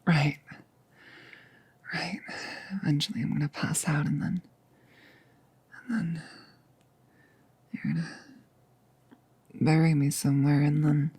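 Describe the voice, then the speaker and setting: Female performer as nervous, tender girlfriend turning vampire, ASMR roleplay. Low, very husky and breathy, halting. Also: steady tone, exaggerated voice. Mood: uncertain, musing. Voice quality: breathy, husky, often whispered. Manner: hesitant, halting, soft-spoken.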